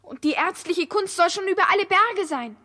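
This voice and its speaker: Casting: pleading young daughter, piercingly high and sharp, urgent radio drama delivery. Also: female voice, lively intonation, moderate pace, heavily acted. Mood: urgent, insistent. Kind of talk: 1960s German radio play narration.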